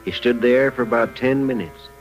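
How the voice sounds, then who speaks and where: An actor playing a storyteller in a radio western, giving scripted narration of a frontier tale. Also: male voice, mid-range voice, moderate pace, soft background music, natural voice, rough recording. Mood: measured narration. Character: placating male western saloon keeper, also telling the tale.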